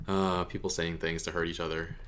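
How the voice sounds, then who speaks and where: A man's voice: deep voice, little pitch movement, a little fast, fairly bright tone, a little hiss or hum. A man hosting a reaction video, giving casual, rambling, amused commentary.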